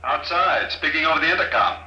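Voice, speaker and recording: An actor playing a calm, mocking male villain who speaks over an intercom in a 1950s radio drama. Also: on the high side. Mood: cold. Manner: smooth, unhurried, mocking. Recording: echoey intercom on old radio broadcast.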